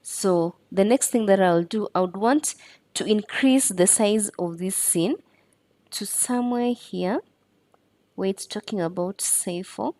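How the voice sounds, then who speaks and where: A woman's voice: mid-range voice, a little slow, dark tone, no echo. A woman presenting a step-by-step screen tutorial in an instructional way.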